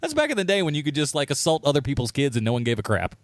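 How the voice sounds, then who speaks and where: Animated American man telling stories casually. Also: a little high, very fast, no room sound. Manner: animated storyteller who acts out quoted lines. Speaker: podcast co-host. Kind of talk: casual comedic chat.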